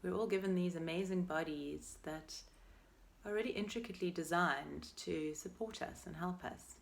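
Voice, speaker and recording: Low, creaky-voiced woman guiding meditation. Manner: gentle, unhurried, soothing instruction with pauses. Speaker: meditation teacher. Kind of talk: guided meditation, body scan.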